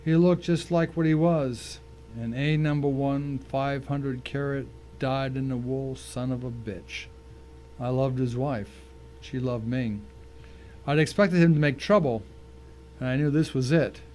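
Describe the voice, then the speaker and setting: A man's voice: mid-range voice, a little slow, darkish tone, slight background noise. A man giving scripted first-person narration in a dramatic audio story.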